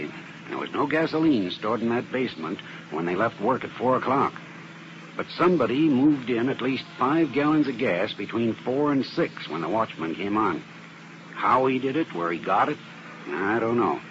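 A man's voice: medium pitch, sing-song, normal speed, natural voice. Man playing a matter-of-fact arson specialist. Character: methodical male fire investigation specialist. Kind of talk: 1950s radio drama acting. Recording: muffled, hissy vintage radio broadcast.

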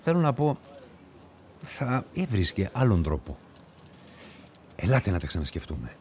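An actor in a radio play playing a patient, methodical police investigator who questions and explains in a measured way. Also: male voice, fairly low pitch, sing-song, a little mellow, slight background noise. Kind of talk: radio drama acting.

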